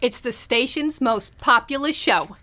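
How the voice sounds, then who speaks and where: Lady playing snappy young 1940s office girl, highish voice, teasing and slangy. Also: a little slow, sharp tone. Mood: eager, dutiful. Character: perky, teasing young 1940s radio station secretary. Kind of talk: old-time radio comedy acting. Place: live stage performance in a bookstore.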